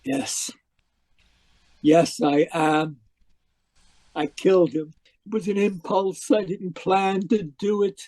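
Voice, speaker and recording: A man's voice: high-pitched, steady tone, slow pace, long pauses, a little mellow, dry recording, heavily acted. A man playing an anguished, deluded murderer in an old-time radio drama, pleading and melodramatic. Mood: remorseful, pleading. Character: anguished, deluded male murderer convinced he is dead.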